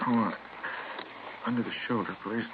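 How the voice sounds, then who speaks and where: An American man playing a shaken, wounded schoolteacher in his thirties, speaking haltingly and earnestly in an old radio drama. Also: lowish voice, steady tone, natural voice. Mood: pained. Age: 30s. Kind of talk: 1950s radio drama acting. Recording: tinny, noisy vintage radio recording.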